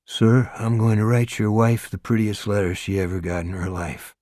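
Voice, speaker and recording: A man voicing a timid, bookish young male inmate who speaks quietly and carefully. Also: on the low side, fairly even intonation, moderate pace, no room sound, clean studio sound, close to an everyday voice.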